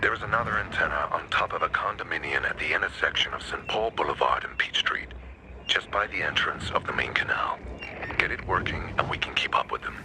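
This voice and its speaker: A fatherly older lookout in a video game, with a low, gruff voice. He speaks in a measured, cautioning way over clearly noisy ambience. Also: animated. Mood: watchful. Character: wary, fatherly older male radio lookout. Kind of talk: video game character dialogue. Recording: noisy, with engine-like ambience behind.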